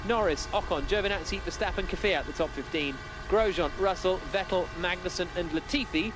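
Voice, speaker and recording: A man's voice: sits high, a little fast, background noise. A man giving live commentary on a motor racing session as the lead commentator.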